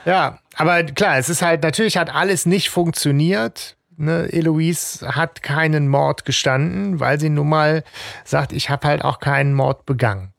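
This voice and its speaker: Male co-host with a fairly high voice and a gravelly edge, reflective and halting, recorded dry. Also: a bit bright, no echo. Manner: hesitant, many fillers and restarts, reflective. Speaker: podcast co-host. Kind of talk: casual podcast discussion.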